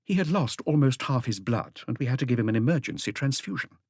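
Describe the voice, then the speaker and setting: A man's voice: medium pitch, lively intonation, fast talker, darkish tone, dry recording, clean studio sound, pitched above the performer's own voice, close to an everyday voice. A man voicing a stern, disagreeable hospital matron in an audiobook, curt and withering. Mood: curt, disdainful.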